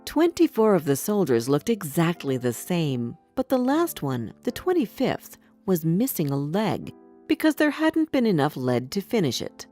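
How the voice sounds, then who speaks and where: Lively, low-pitched woman storyteller. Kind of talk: scripted fairy tale narration for children. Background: background music.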